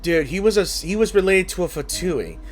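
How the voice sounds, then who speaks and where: A man's voice: high-pitched, animated, brisk, soft background music. A man hosting a gameplay video, commenting casually and thinking aloud with informal interjections. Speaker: gaming video host.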